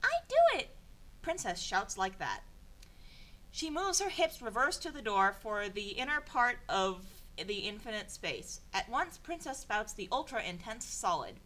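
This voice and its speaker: Female amateur narrator, animated intonation, exasperated and sardonic, informal comedic read. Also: mid-range voice, unhurried. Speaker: narrator of a comedic fan fiction reading. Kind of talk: informal dramatic reading with asides.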